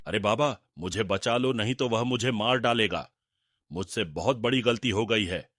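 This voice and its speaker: Low-voiced greedy, fearful village moneylender acted by a man. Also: moderate pace, fairly bright tone, slight background noise, close to an everyday voice. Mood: frightened pleading. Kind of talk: Hindi cartoon character dialogue.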